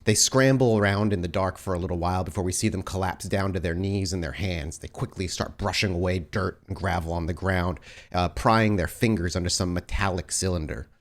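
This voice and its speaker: A man with a low voice runs a tabletop game as its storyteller. He describes each scene in measured, atmospheric detail. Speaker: game master.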